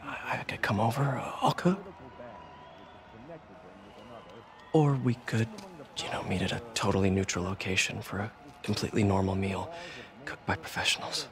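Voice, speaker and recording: A man plays an earnest young American in a story game, tentative and a bit awkward. His voice sits on the low side, with a busy crowd behind it. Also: lively intonation, a little fast, close to an everyday voice. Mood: awkward, hopeful. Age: young adult. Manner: hesitant, tentative.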